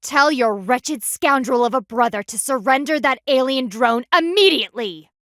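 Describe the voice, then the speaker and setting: A woman's voice: high voice, lively intonation, normal speed, a bit bright, no room sound, clean studio sound. A woman voicing a stern, proud panda warrior in a video game, speaking formally and with haughty, precise diction. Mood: indignant, stern. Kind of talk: scripted video game voice acting.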